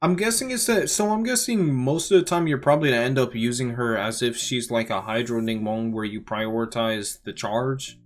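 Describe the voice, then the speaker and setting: A man's voice: mid-range voice, normal speed, few pauses. A young man giving casual reaction commentary as a gaming creator, thinking aloud in a conversational way. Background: music.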